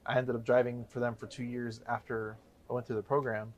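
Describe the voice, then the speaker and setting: Casting: man in his thirties from the Chicago area, quick rambling storyteller, mid-pitched. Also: level tone, muffled recording. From Chicago area, USA. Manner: rambling, self-correcting storyteller. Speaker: guest, real estate investor. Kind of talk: casual interview talk.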